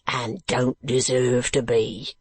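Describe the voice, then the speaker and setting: A reader voicing a coarse, squat male keeper from a storybook, gruff and blunt, with uneducated speech. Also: mid-range voice, level tone, takes it slowly. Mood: blunt, scornful.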